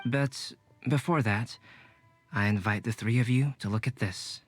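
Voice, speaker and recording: A man voicing a calm, courteous alchemist. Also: mid-range voice, a little slow, faint music behind, close to an everyday voice. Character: calm, modest young male alchemist. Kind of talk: game cutscene voice acting.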